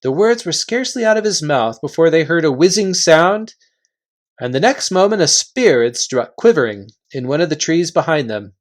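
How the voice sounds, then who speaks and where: A man reading a children's fantasy novel aloud as a volunteer, in an expressive storytelling style. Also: on the high side, moderate pace. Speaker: volunteer reader.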